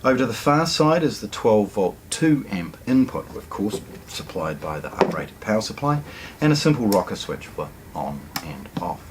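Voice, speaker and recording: A middle-aged New man with a low voice that swings up and down a lot as he walks through a product unboxing. He sounds chatty and keen, dotting his talk with ums and false starts. He speaks indoors in a hi-fi store, with a faint hiss of noise behind him. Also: big pitch swings, moderate pace. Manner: chatty, enthusiastic, frequent ums and restarts. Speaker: hi-fi store presenter. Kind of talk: casual product unboxing walkthrough.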